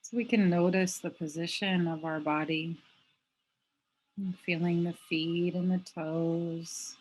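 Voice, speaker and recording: A woman teaching mindfulness, leading a guided meditation in a gentle, unhurried manner with long pauses. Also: lowish voice, fairly even intonation, rough recording.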